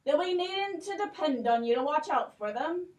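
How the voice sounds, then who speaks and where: A woman's voice: sits high, expressive, moderate pace, roomy sound, far from the mic. A woman voicing a poor, fond old father with a folksy old-country lilt in a children's puppet show. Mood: doting.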